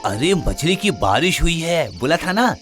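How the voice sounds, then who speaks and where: A man's voice: a little high, sing-song, a little slow, a bit bright, faint music behind. A man playing a sly, coaxing village farmer husband in a cartoon story. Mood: excited, straining. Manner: coaxing, exclamatory, wily.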